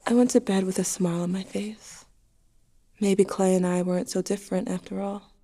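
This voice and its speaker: A woman's voice: medium pitch, steady tone, moderate pace, mellow, natural voice. A young woman playing a grieving, anxious teenager, narrating an intimate, wry diary to a dead sibling in an audio drama. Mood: subdued, tender.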